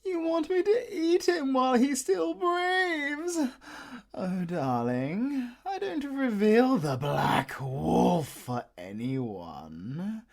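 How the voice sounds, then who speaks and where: A man's voice: very high voice, sing-song, drawn-out words, vocal fry, full character voice. A British man voicing a possessive, sadistic werewolf villain in a theatrical audio roleplay, purring endearments and slipping between whispers and laughter. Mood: gleeful, adoring.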